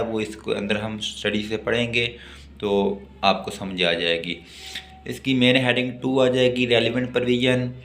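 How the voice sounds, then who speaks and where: Slow, echoey Pakistani male law lecturer. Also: mid-range voice, bright, forceful tone. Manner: alternates slow deliberate reading with plainer explanation. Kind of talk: explanatory lecture, reading out legal text and explaining it. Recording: echoey, reverberant room sound.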